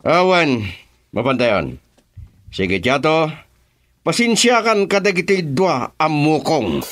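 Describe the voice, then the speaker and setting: A man's voice: a little high, sing-song, slow, fairly bright tone, full character voice. A man with an Ilocano Filipino accent playing a commanding gang boss in a radio drama, shifting between easy banter and orders. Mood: amused.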